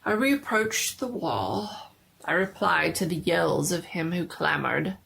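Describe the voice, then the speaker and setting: A woman giving a dramatic reading of a horror story, theatrical and deliberate, often dropping to a hush. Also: on the low side, expressive, vocal fry, poor audio.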